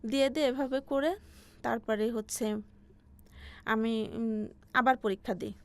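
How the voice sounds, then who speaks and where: A woman in her forties with a Bangladeshi accent, telling her life story as an interview guest in a halting way, circling back over her phrases. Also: fairly high pitch, fairly even intonation. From Bangladesh, Faridpur region. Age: 40s.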